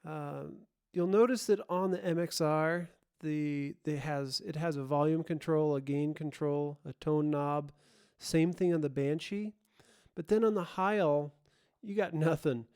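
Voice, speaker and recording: Male gear-demo presenter: slightly high, clean resonant voice, casual explanatory delivery, self-correcting as he goes. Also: unhurried, darkish tone, smooth. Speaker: presenter of a music gear comparison.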